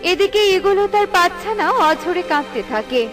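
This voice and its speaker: A woman's voice: extremely high pitch, expressive, moderate pace. A woman narrating a scripted children's story. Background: faint music.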